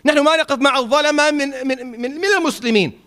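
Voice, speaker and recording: Brief: middle-aged man, imam's Friday sermon, rapid delivery, swings from emphatic to wry. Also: sharp tone. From Syria. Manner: impassioned, rising to emphatic peaks then dropping low and wry. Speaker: imam.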